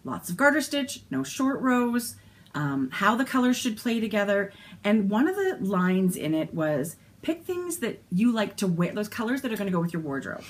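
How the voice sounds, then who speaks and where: A woman's voice: mid-range voice, sing-song, moderate pace. A woman in her forties from Canada, co-hosting a knitting podcast with an eager, bursting way of talking, recorded at a desk camera in a yarn-filled craft room. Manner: enthusiastic, talks in bursts with restarts. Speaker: podcast co-host. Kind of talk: casual chat.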